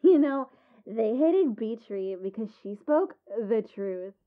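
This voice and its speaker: Woman as a slow-talking, sly castle maid. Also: a little high, lively intonation, narrow, tinny audio. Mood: mocking, amused. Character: sly, gossiping castle maid with mocking streak. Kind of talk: audio drama acting.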